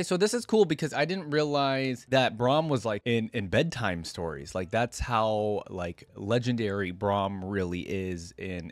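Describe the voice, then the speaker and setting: Low-voiced man reacting casually. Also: dry recording. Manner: informal, thinking aloud with frequent "like". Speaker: reaction video host. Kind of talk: casual commentary and reading lore aloud.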